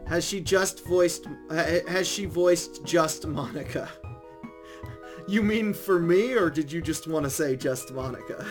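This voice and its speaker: A man hosting a stream, chatting casually with viewers in a halting, self-interrupting way. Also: on the high side, music in the background. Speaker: stream host.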